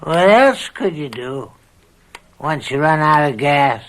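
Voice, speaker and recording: An actor playing a scheming, controlling older farm uncle with drawn-out, falsely kindly wheedling in an old radio mystery drama. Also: male voice, fairly high pitch, lively intonation, fairly bright tone. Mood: smug.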